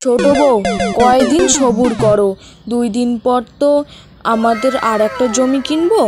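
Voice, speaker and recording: A woman's voice: fairly high pitch, unhurried, pitched below the performer's own voice, lightly acted. A woman voicing a doting, gullible farmer husband in a cartoon folk tale, by turns placating and admonishing. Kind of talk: animated folk tale dialogue. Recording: voice over prominent background music.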